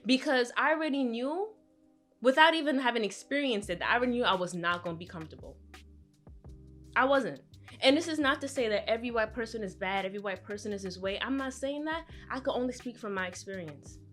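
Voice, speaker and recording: A young woman speaks straight to camera in a candid, conversational way. Her voice sits fairly high and she leans hard on her points. Faint music plays quietly underneath. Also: animated, a little fast. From Brooklyn, New York, with Trinidadian roots. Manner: conversational, emphatic, acts out voices of others. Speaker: vlogger. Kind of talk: candid personal commentary to camera.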